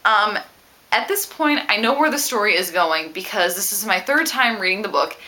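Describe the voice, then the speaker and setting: A woman talking casually about a book as a vlogger, with an animated, emphatic and opinionated way of speaking. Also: mid-range voice, normal speed. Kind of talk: casual book discussion to camera.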